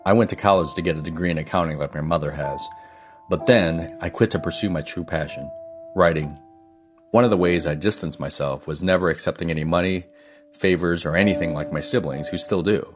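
Brief: 40s man, very deep voice, steady delivery, music bed. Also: fairly quick. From United States. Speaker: interviewee sharing a story. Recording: voice with clear music underneath.

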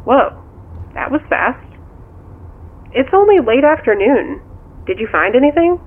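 Wry confidante on a tinny phone. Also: female voice, high voice, very animated, unhurried, exaggerated voice. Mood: curious. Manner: questioning, wry, casual. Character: curious, skeptical woman confidante, gently chiding and dry. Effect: telephone filter. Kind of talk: naturalistic audio drama dialogue. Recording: thin, tinny phone line.

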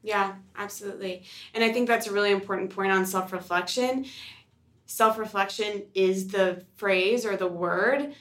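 Bright-voiced woman co-hosting a podcast. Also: mid-range voice, moderate pace. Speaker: podcast co-host. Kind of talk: casual podcast discussion.

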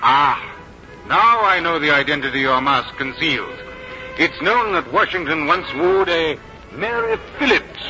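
An actor playing a suave, scheming British major, a courtly and theatrical flirt in a radio drama. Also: male voice, a little high, lively intonation, measured pace. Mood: gallant, amused. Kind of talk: 1950s radio drama acting. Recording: dull 1950s radio recording, music behind.

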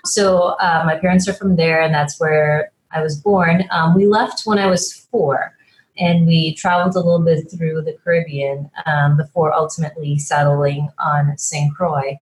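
A woman from Guyana, a fertility doctor and author, telling her story as a podcast guest in a measured way with frequent fillers. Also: sits low, steady tone, rough recording. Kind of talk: podcast interview.

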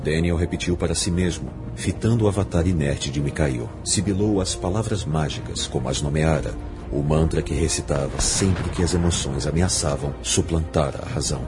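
A Brazilian man narrates a dramatic story in an extremely low voice. The delivery is solemn and measured, set over clear background music. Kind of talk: dramatic scripted audiobook narration.

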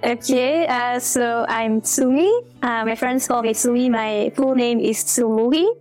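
A woman's voice: on the high side, fairly even intonation, measured pace, a little mellow, soft background music. A woman in her thirties from Belgium, a guest actor in a casual interview, speaking hesitantly with many fillers and restarts.

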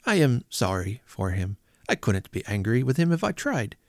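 A man's voice: medium pitch, very animated, normal speed, dry recording, clean studio sound. A man voicing a cheerful, good-natured young man who laughs heartily, in a storybook reading. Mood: good-humoured. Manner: jovial, laughing.

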